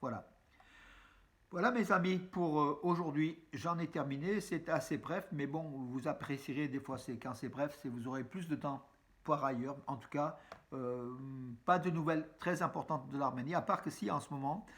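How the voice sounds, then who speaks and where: A man delivers a casual news roundup straight to his audience. He speaks in a chatty, halting way, full of fillers and restarts, and keeps calling his viewers friends. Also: on the high side. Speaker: news vlogger. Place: room with a map on the wall behind him.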